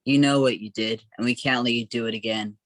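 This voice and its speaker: A man playing a gruff, taunting prison security guard in a comic noir radio play. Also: mid-range voice, steady tone, a little fast, a bit bright, no room sound, natural voice.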